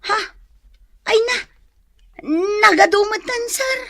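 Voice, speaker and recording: A woman's voice: extremely high pitch, slow pace, fairly bright tone, heavily acted. A woman acting a hot-tempered, money-minded wife in a radio drama, with a Filipino accent. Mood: flustered, obliging.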